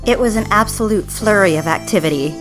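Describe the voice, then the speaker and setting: A woman plays a young secretary and bride-to-be telling her own story in an audio drama. Her mid-pitched voice is confiding and measured, wistful and yearning as she justifies herself, with soft music behind. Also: lightly acted, low-quality recording. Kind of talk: first-person dramatic narration.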